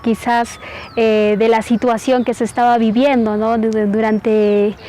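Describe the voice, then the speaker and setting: A woman giving a reflective interview, halting and hesitant, often adding little checking tags. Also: fairly high pitch, little pitch movement, dark tone, a little hiss or hum. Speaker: radio drama performer.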